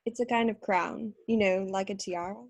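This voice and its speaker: A woman playing a bookish, know-it-all teenage witch in a screenplay table read, husky and earnestly explanatory. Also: mid-range voice, steady tone, normal speed, close to an everyday voice.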